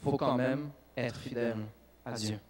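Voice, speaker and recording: A man, a native English speaker, preaching in a church with lively bursts of emphasis and frequent restarts. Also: medium pitch, a little slow. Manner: animated preaching with restarts and self-corrections. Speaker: youth speaker. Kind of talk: sermon.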